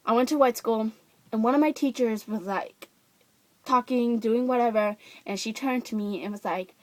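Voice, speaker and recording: A woman's voice: a little high, moderate pace, dry recording. Chatty young woman ranting to camera. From Japan. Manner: chatty, many fillers and restarts. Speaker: vlogger. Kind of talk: casual rant to camera.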